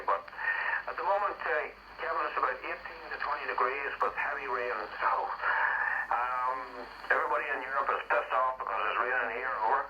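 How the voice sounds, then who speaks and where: A man's voice: medium pitch, pushed and bright. A man with an Irish accent chatting casually over two-way radio, hesitating and repeating his words. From Ireland. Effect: two-way radio transmission. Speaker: amateur radio operator. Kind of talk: casual radio contact chat. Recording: narrow, slightly noisy radio signal.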